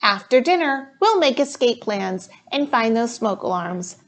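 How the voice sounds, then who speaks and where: A woman's voice: a little high, sing-song, a little slow. A woman voicing a practical, agreeable mother in a storybook, reasonable and slightly apologetic. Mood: reassuring. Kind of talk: storybook read-aloud.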